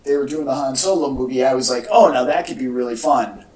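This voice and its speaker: A man talking casually as a podcast co-host, in a halting, conversational way. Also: medium pitch, a little mellow. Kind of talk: casual chat about movie news. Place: indoor room with echo. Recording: echoey room, distant from mic.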